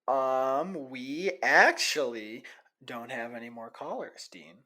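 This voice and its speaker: A man playing a young college student, a halting, sheepish co-host of a radio show in an audio drama. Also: mid-range voice, pushed and bright. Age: young adult.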